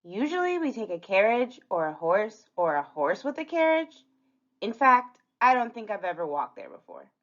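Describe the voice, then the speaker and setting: A woman's voice: mid-range voice, big pitch swings, moderate pace, fairly bright tone, pitched above the performer's own voice. A woman voicing a cheeky, questioning little girl in a storybook, on a dull recording. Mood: doubtful. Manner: questioning, sassy. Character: cheeky, outspoken little fairy-tale girl.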